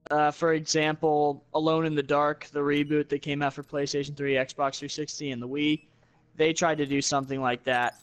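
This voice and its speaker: A man's voice: a little high, no echo. A man giving blunt, opinionated takes on video games as a guest in casual talk.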